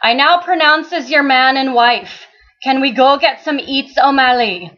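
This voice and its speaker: Woman putting on a brash, loud male sidekick. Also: high voice, unhurried, highs cut off, pitched above the performer's own voice. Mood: brash, impatient. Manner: loud, folksy, impatient. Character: brash, hungry, uneducated male sidekick of a detective.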